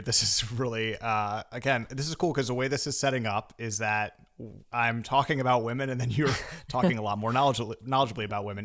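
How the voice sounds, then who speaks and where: A man's voice: medium pitch, a bit bright. A man with a mid-range voice co-hosts a podcast, reading news items aloud and breaking in with playful jokes. His tone is loose and casual. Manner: joking, playful, reads news items quickly. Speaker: podcast co-host.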